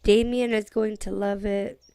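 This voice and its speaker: A woman's voice: medium pitch, little pitch movement, unhurried, mellow, clean tone, no room sound, lightly acted. A woman playing an earnest, feisty girl with a plain-spoken manner in a scripted game roleplay. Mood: hopeful, concerned. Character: earnest, feisty girl, a loyal young friend. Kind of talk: scripted Minecraft roleplay acting.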